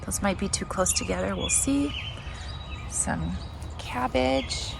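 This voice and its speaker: A woman's voice: medium pitch, slow, vocal fry. A woman giving a casual walking tour of a garden, chatting and thinking aloud as she goes. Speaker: garden vlog host. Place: outdoor backyard vegetable garden. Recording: noisy outdoor ambience with birdsong. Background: birds and outdoor ambience.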